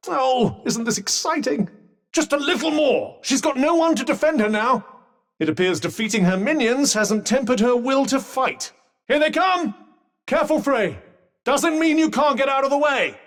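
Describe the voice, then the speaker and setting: A man's voice: very high-pitched, a bit bright, in a large hall. A man voicing a sardonic talking magic bracelet in a video game, firing off dry, sarcastic quips and urgent combat warnings. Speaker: voice actor.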